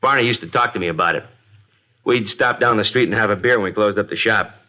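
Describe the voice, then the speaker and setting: Guy playing a testy garage boss on old radio, quick lowish voice, guarded, kinda muffled sound. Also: close to an everyday voice. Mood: grudgingly candid. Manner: wary, grudging, colloquial. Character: guarded working-class male garage owner, reluctant and testy. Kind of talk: old-time radio drama acting, naturalistic. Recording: dull vintage radio broadcast.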